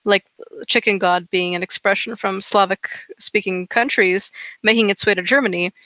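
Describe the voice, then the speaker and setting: A podcast co-host whose first language is Serbo-Croatian talks in a loose, meandering way, leaning on fillers and tag questions. The voice is bright and forward. It sounds close and dry, with no room around it. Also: medium pitch, steady tone, moderate pace, dry recording, dull audio. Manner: rambling, filler-heavy, frequent "you know" and "right?". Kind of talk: casual folklore podcast chat.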